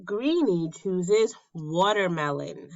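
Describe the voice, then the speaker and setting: A woman reading a children's picture book aloud as a teacher, with simple, deliberate phrasing and questions for young listeners. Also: fairly low pitch, lively intonation, muffled recording.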